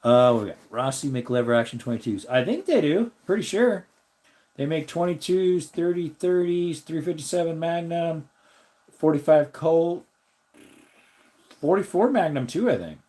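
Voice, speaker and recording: A man with a slightly raspy voice hosts a relaxed live stream. He rambles and thinks aloud as he answers his viewers' comments. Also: fairly high pitch, normal speed. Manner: rambling, thinks aloud while looking things up.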